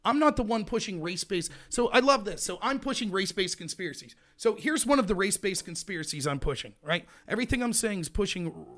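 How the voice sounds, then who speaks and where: A man hosting a live political show, ranting in a loud, indignant voice. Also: high-pitched, animated, quick pace, few pauses. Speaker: livestream show host. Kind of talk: heated political commentary.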